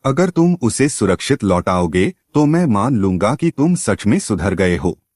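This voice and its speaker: Man playing a cartoon lion king, low expressive voice, solemn, regal, fair, commanding. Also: measured pace, no room sound, clean studio sound. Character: stately, just lion king of the jungle. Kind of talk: cartoon moral-story acting.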